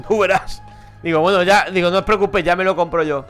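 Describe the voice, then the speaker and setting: Chatty Madrid gamer, bright high voice. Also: male voice, fairly even intonation, brisk, faint music behind. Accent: Madrid Spanish. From Madrid, Spain. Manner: chatty, swears, laughs at own stories. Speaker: gaming streamer. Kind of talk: casual let's-play commentary. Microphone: headset.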